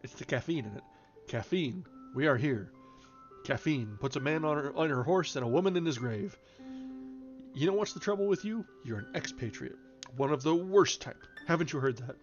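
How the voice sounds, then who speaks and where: A man reads the part of a loud, teasing fellow who mock-preaches at his pal. The delivery is declamatory and needling, in the manner of acted audiobook dialogue. The sound is a little dull, with music underneath. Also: a little high, expressive, normal speed. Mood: mock lecturing. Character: Bill, boisterous teasing American man, mock-preachy wisecracker. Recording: dull lo-fi recording, music behind.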